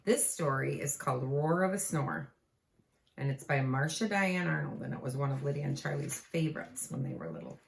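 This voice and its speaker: A woman reading a children's storybook aloud with expressive delivery, pausing to prompt young listeners. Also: extremely low pitch, creaky, slight background noise. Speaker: teacher. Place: room at home. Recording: echoey home room.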